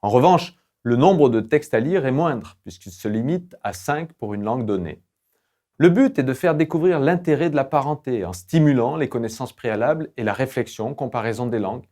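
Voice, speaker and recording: A male lecturer delivers scripted instructional narration in a mid-pitched voice. His manner is formal and didactic, with measured, academic phrasing. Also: warm tone. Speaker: lecturer presenting language-learning tools.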